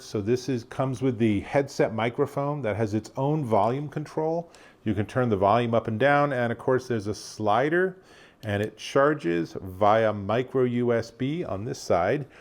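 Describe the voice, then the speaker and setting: Casual man demoing a gadget. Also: medium pitch. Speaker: tech product reviewer. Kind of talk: casual product demonstration.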